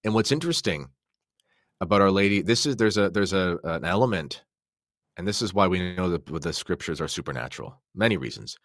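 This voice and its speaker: Deep-voiced man explaining with emphasis. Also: lively intonation, a little fast, a bit bright, dry recording, studio quality. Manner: emphatic, explanatory, posing rhetorical questions. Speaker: podcast guest. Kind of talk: impassioned religious discussion.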